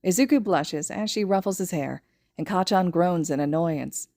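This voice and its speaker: Low-pitched woman narrating, very dry sound. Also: normal speed, warm tone, no echo. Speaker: podfic narrator. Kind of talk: scripted story narration.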